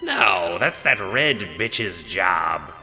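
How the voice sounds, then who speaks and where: Audio drama Death,: huge, growling, weary, crude skeletal creature, forceful, swooping delivery over music. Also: male voice, low-pitched, very animated, drawn-out words, long reverb tail, exaggerated voice. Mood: gruff, dismissive. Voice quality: gruff, growling. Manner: blunt, dismissive, swearing. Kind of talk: audio drama character acting.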